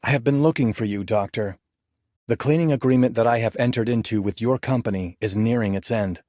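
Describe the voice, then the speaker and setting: A man's voice: mid-range voice, brisk, mellow, dry recording, clean studio sound, lightly acted. A man acting a polite, businesslike contractor in a game, formal and reserved. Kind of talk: scripted game character voice line.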